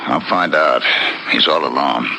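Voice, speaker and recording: A man's voice: very deep voice, a little slow, creaky, bright, forceful tone, slight background noise, heavily acted, poor audio. An actor in a 1970s radio drama playing a firm, reasoning man loyal to his friend. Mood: subdued, concerned.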